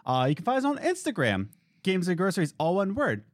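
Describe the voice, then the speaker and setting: Male podcast co-host, casual, lively pitch jumps, dry close-mic sound. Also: brisk, dry recording. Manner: swings between low easygoing talk and high excited bursts. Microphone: studio podcast mic.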